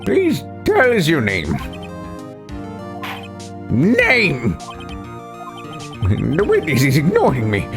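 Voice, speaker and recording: A bumbling, easily baffled old courtroom judge from a video game, put on by a man. He exclaims and dithers, his pitch swooping wildly at an unhurried pace, with game music behind him. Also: huge pitch swings, full character voice. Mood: flustered. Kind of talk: voiced playthrough of a courtroom game.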